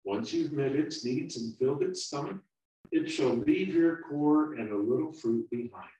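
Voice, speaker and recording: A man voicing a patient, wise old apple who speaks calmly and gently. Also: mid-range voice, unhurried, roomy sound, far from the mic, dull audio, voice pushed up. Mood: soothing. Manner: calm, soothing, gently stern.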